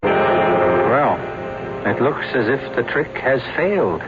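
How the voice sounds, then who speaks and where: A man hosting an old radio mystery drama, speaking in a wry, measured way. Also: medium pitch, lively intonation. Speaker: show host. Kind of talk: radio drama host narration. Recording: lo-fi old radio broadcast with music.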